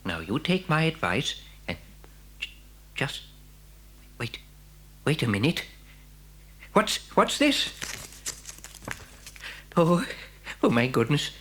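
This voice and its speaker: A woman's voice: sits low, wildly swooping pitch, slow pace, pauses often, fairly bright tone, slight background noise, full character voice. A woman playing a kindly, fussing shopkeeper who stammers and repeats words in an audio drama for children. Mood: kindly, chatty. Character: kindly, fussing shopkeeper serving a small boy.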